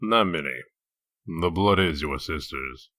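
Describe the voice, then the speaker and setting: A man playing a gruff, humorless wolf-man detective in a comic dub, curt, stern and deadpan. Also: a bass voice, measured pace, no echo, studio quality, full character voice. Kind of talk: comic dub character acting.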